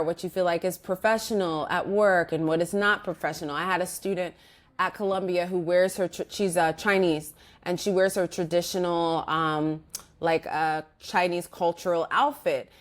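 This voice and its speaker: A woman with a lowish voice speaks as a podcast guest. She talks in a relaxed, reflective way, circling back and restarting her thoughts with plenty of "like" and "you know.". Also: normal speed. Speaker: guest, organizational psychologist. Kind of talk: casual interview conversation.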